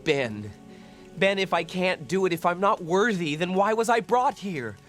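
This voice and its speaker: An actor playing an earnest, impatient young American would-be hero in a radio drama, hesitant and pleading. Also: male voice, high voice, animated, moderate pace, a bit bright, soft background music.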